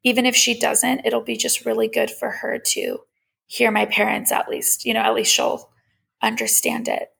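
Creaky-voiced young woman, slightly high and husky. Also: moderate pace, a bit bright, rough recording. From Iran. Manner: candid, reflective, conversational. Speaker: podcast host. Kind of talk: casual personal monologue.

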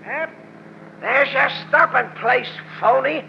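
An actor playing a crude, eager American gangster henchman with a gravelly, sharp voice and slangy thug talk, in old radio style. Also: very high voice, slow, a little hiss or hum, full character voice. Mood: gloating. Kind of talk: 1940s radio acting. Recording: muffled old radio recording.

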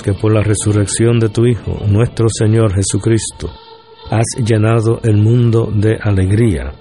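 A man reciting a liturgical prayer in a solemn, measured way. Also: lowish voice. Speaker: prayer leader. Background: loud sung choir music.

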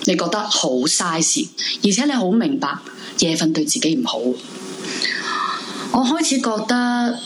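A lonely, heartbroken woman voiced by an actress with a slightly low voice, brooding and weary. It is a horror radio play, recorded live with noticeable noise. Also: sing-song, measured pace. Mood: melancholy, brooding. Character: lonely, weary, clinging heartbroken woman past twenty-five. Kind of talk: live horror radio drama, confessional monologue. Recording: noisy live room recording.

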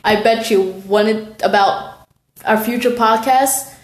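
A woman's voice: a little high, a little slow. A young woman co-hosting a casual chat podcast, joking and telling stories with energy. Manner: joking, animated storytelling. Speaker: co-host. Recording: echoey room.

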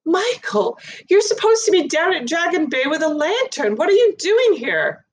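A woman voicing a frantic, cornered middle-aged woman in a storybook reading, high and breathless as she protests. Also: very animated, normal speed, exaggerated voice. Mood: shrill.

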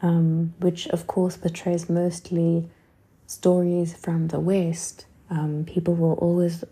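A woman in her twenties hosts her own podcast, thinking aloud in a slow, unhurried ramble. Her voice sits a little low and sounds dark and mellow, with a gravelly edge. She fills her pauses with soft fillers and drops into quiet whispered asides. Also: completely flat delivery. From South Africa. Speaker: podcast host. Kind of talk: casual reflective monologue.

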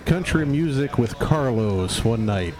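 A man's voice: medium pitch, lively intonation, unhurried, a little mellow. A man co-hosting a casual podcast, teasing in a dry, matter-of-fact way. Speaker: podcast co-host. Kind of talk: casual banter.

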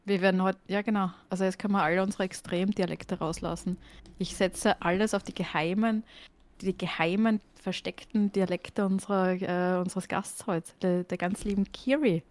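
A woman's voice: medium pitch, steady tone, a little mellow. A woman who hosts a podcast and talks enthusiastically, with many fillers. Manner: enthusiastic, fast and full of fillers. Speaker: podcast host. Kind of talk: casual podcast chat.

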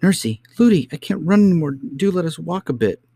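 A man's voice: fairly high pitch, lively intonation, brisk, creaky, dark tone, husky, no room sound, voice pushed up, heavily acted. A man voicing a chatty, innocent, insistent little princess in a storybook. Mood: tired pleading. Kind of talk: storybook character voice.

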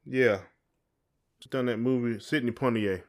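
A man's voice: mid-range voice, fairly even intonation, a little slow. A man chatting casually and colloquially as a podcast co-host. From southern United States. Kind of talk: casual chat with spouse.

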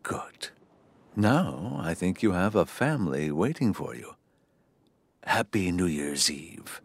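Old forest man, kindly, low voice, unhurried, dry sound, storybook radio play. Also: expressive, dry recording, full character voice. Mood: kindly, wise. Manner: slow, kindly, instructive. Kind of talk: children's audio drama acting.

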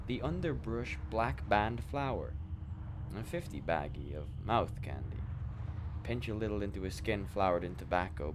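A man playing a world-weary, drug-addled captain who rambles in morbid musings in a dark comedic radio play. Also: lowish voice, background noise. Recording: music playing behind the voice.

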